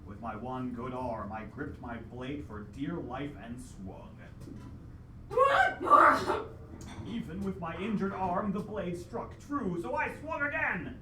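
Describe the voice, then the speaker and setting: A man's voice: fairly high pitch, measured pace, hardly any pauses, close to an everyday voice. A man playing a heroic adventurer who narrates his dragon quest as a solemn, melodramatic old-time radio play. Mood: desperate, triumphant. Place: live theatre stage with audience. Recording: echoey live hall, distant mic, noisy.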